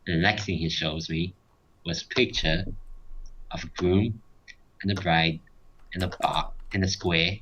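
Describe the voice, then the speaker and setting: A man with a very low voice tells a casual, rambling anecdote during gameplay. He often quotes other people's words. The recording is dull and slightly noisy, with typing and clicks behind him. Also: fairly even intonation, measured pace, long pauses, fairly bright tone. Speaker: storyteller in gaming video.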